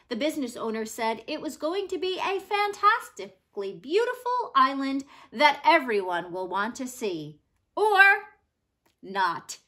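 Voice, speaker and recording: A woman narrating a read-aloud story expressively, with dramatic pauses and emphatic stresses. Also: sits high, pushed and bright. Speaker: reader of a children's non-fiction book.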